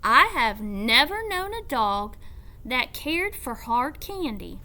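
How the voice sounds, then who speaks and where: Storybook old lady librarian, put on by a female reader, proud, genteel, unhurried, high bright voice. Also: very animated, heavily acted. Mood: gracious.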